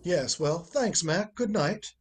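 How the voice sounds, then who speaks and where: A man playing a wry, unflappable gentleman in a radio play, dry and teasing. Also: high-pitched, expressive, slow, background noise. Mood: wry, casual.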